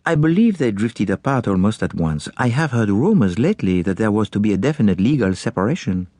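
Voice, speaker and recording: A man's voice: lowish voice, big pitch swings, a little fast, warm tone, no echo. A man voicing a dapper, shrewd Belgian detective with a French-speaking Belgian accent and precise, formal manner in an audiobook. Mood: sympathetic. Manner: precise, formal, courteous questioning. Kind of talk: audiobook character acting.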